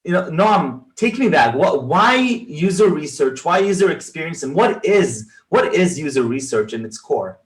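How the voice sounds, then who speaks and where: A man's voice: a little high, sing-song, normal speed, hardly any pauses, rough recording. A man hosting an interview over a video call, enthusiastic and often restarting his words as he asks questions. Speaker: podcast host. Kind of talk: casual interview talk.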